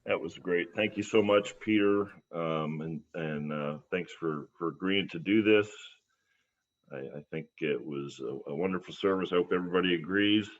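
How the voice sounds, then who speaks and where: A man's voice: sits low, moderate pace, lo-fi sound. An American man leading an online church service informally, tentative and repeating words, heard through video call audio.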